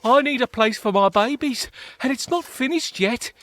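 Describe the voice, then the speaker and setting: A man's voice: very high voice, expressive, moderate pace, a bit bright, no room sound, voice pushed up. A man as a stubborn, grumbling parent mole. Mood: grumbling, determined. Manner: grumbling, insistent. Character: stubborn, busy parent mole digging for its babies.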